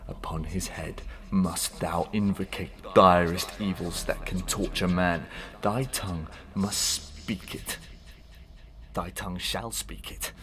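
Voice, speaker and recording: An actor playing a bitter condemned husband, menacing and declamatory, in a Victorian melodrama for audio. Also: male voice, sits low, fairly even intonation, slow, a bit bright. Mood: vengeful, commanding. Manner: declamatory, archaic, dramatic speech.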